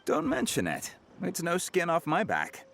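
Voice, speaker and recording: An actor playing a wary merchant boss in a video game, with a theatrical, drawn-out delivery. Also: male voice, a little high, wildly swooping pitch, vocal fry, a bit bright, a little hiss or hum, heavily acted. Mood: agreeable, businesslike. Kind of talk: scripted game character dialogue. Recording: music behind the voice.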